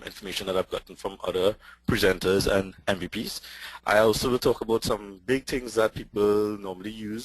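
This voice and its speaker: A man from Trinidad with a Trinidadian accent, giving a technical webinar presentation in a quick, excitable way with many fillers. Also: fairly low pitch, breathy edge, no room sound, rough recording. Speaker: presenter and database lecturer.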